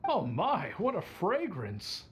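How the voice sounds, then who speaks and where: An actor playing a good-natured old male tavern drinker in an anime game, polite and theatrically flattering. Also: sits high, slow, heavily acted. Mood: enraptured. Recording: game music behind the voice.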